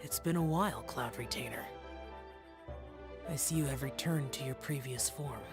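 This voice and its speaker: A man voices a stern young guardian spirit in a fantasy game. He speaks formally and with restraint at a medium pitch, with faint music behind him. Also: lightly acted. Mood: stern, reflective. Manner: formal, reserved, measured phrasing. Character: stern, aloof, youthful immortal guardian warrior, male. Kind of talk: video game character voice acting.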